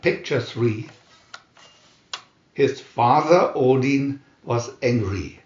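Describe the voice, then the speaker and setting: A man reading a scripted adventure story aloud in a dramatic, deliberate narrator's manner. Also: mid-range voice, expressive, a little mellow, reverberant, muffled recording. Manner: dramatic, deliberate comic-adventure reading with announced picture numbers. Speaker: narrator and reader.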